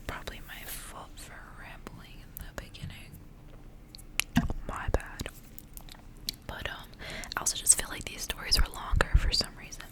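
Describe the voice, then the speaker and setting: A woman whispering softly and breathily in an ASMR style, hushed, as she reads and chats close to the mic. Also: low-quality recording. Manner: hushed, repeats words softly. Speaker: ASMR host reading stories aloud. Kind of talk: whispered ASMR, story reading and casual rambling.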